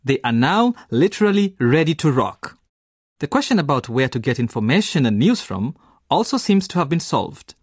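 A man's voice: medium pitch, sing-song, normal speed, slightly rough, no room sound, studio quality. A man presenting and narrating a scripted radio series in an upbeat, emphatic presenter style.

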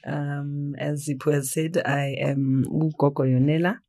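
A woman from South Africa's Eastern Cape with a South African accent, a traditional healer answering interview questions softly and reflectively, mixing in isiXhosa. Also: low voice, little pitch movement, unhurried. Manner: soft-spoken, reflective, mixes English and isiXhosa.

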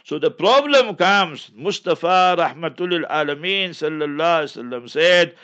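South african scholar guy answering questions, forceful and preachy, kinda high voice, muffled lo-fi. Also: lively intonation, moderate pace. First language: Memon.

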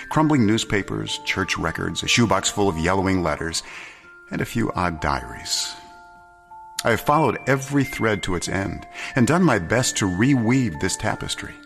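A man narrating a story for a radio drama in a measured, theatrical way. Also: lowish voice, vocal fry, long reverb tail.

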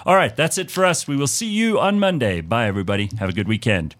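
A man with a South African accent hosting a radio talk show, telling stories in a lively, animated way and putting on the voices of the people he quotes. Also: medium pitch, quick pace, slight background noise. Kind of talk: casual talk radio chat.

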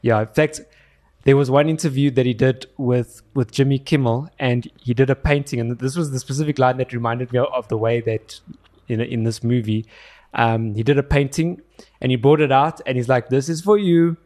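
A young man co-hosting a casual film chat. His voice sits in the middle range. He talks in a halting way, restarting as he hunts for the right words. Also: mid-range voice, steady tone, a little mellow. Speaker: film podcast co-host.

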